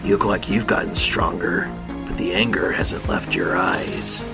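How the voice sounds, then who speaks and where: An actor playing a gruff, resolute, battle-scarred veteran police chief in an audio drama. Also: male voice, low voice, fairly even intonation, unhurried, exaggerated voice. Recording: loud music, slightly roomy.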